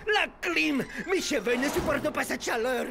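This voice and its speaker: A man's voice: very high voice, expressive, normal speed, vocal fry, over music, exaggerated voice. An actor playing a vain, fussy, melodramatic cartoon football star who preens over his hair. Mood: whining. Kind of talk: animated cartoon dub acting.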